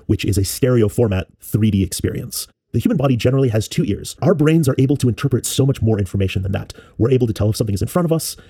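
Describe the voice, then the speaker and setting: A man who works as a musician explains experimental music in a measured, thoughtful way with pauses. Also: lowish voice, dark tone, breathy edge. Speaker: musician and composer. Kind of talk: explanatory talk about making experimental music.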